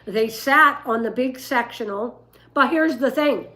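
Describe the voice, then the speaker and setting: An older woman with a rough voice, telling stories and giving advice casually on a livestream, in an emphatic, deliberate way. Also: a little high, bright, forceful tone. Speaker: dog breeder and livestream host.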